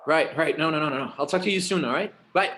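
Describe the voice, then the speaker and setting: Guy acting harried son in a script read, bit high voice, halting, trips over his words. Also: bright, forceful tone. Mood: flustered. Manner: halting, stammering. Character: harried, hesitant grown son, a schoolteacher. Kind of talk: screenplay table read acting.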